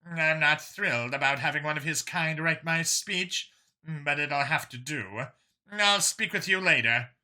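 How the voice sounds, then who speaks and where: A man voicing a weary, composed alien woman in government, speaking in a measured, hesitant way for a science fiction audiobook. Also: on the high side, sharp tone. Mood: reluctant.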